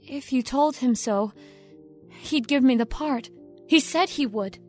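A woman plays a young ambitious actress with a high voice. She speaks with earnest, overdramatic innocence over cool scheming, in radio play style, faint music underneath. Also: a little slow, darkish tone. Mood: calculating. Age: young adult. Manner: earnest, self-dramatizing. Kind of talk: audio drama acting.

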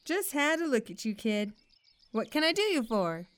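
An actress playing a sassy, self-assured farm hen in an audio drama, with a teasing drawl. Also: female voice, on the high side, big pitch swings, normal speed, clear, resonant tone.

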